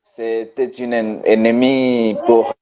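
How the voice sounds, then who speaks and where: A man with a Congolese French accent giving a personal testimony as an eyewitness, haltingly searching for words. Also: medium pitch, flat delivery, crowd noise. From Democratic Republic of the Congo. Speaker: eyewitness guest. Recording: dull, muffled call audio.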